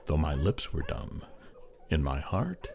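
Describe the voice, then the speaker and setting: A man narrating a poem as a dramatic recitation, theatrical and measured, with long pauses. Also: rumbling low, wildly swooping pitch, over music.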